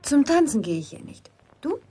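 A young woman playing a pert, impulsive city girl in a German radio drama, frank and questioning, with a husky voice. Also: high voice, big pitch swings, moderate pace, dark tone. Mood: teasing, wistful.

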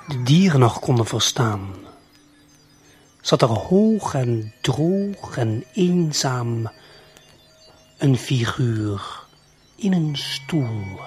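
A man telling a scripted audio drama story as narrator, with measured phrasing and long pauses. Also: medium pitch. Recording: music behind the voice. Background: music.

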